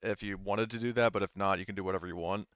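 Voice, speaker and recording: A man's voice: lowish voice, fairly even intonation, rapid-fire, fairly bright tone, clear, resonant tone, no echo, dull audio. A man narrating a tabletop roleplaying game as game master, speaking casually in improvised, hesitant phrasing.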